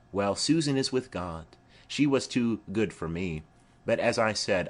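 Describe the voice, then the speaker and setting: Guy doing an old nurse lady from shakespeare, bawdy n fond, slow rambling, pitch all over. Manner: rambling, exclamatory. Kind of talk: amateur-style Shakespeare play reading.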